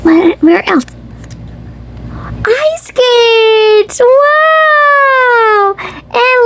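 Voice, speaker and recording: A woman's voice: very high voice, creaky, a bit bright. A woman reading a picture book aloud to young children in a sing-song, exclamatory way, speaking directly to them. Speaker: children's read-aloud host.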